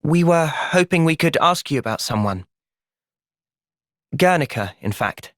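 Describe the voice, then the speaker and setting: A man voicing an earnest, thoughtful young fighter in a video game, measured and sincere but capable of impassioned intensity. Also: a little high, animated, fairly bright tone, no room sound, studio quality. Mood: thoughtful, steady. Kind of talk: video game cutscene voice acting.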